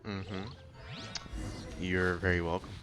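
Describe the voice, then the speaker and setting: A woman's voice: a bass voice, level tone, takes it slowly, music in the background. A woman giving casual, laid-back let's play commentary with dry reactions. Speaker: gaming commentator.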